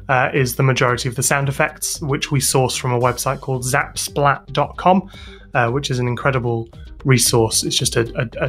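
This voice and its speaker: A British man giving casual interview answers as a guest, informal and hesitant as he thinks aloud. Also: mid-range voice. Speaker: interview guest, podcast sound designer and composer.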